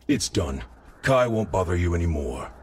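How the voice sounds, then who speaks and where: A man's voice: low voice, sing-song, moderate pace, a little hiss or hum. A man playing a stoic, battle-hardened lord in a medieval fantasy game, dry and understated. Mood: grim.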